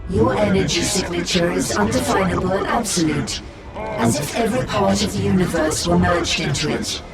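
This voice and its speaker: A gravelly collective monster. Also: male voice, high voice, normal speed, over loud music. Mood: menacing calm. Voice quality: gravelly, speaks as "we". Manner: formal, courteous, menacing. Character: monstrous collective male abomination, coldly polite. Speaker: game villain. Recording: echoey, distant, loud score behind.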